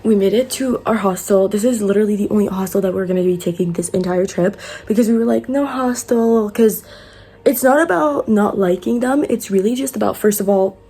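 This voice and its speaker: A young woman born in Morocco whose first language is French, chatting excitedly through a travel vlog with lots of fillers. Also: mid-range voice, brisk, husky. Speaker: travel vlogger. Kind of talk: casual vlog chat. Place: outdoors and indoors while traveling.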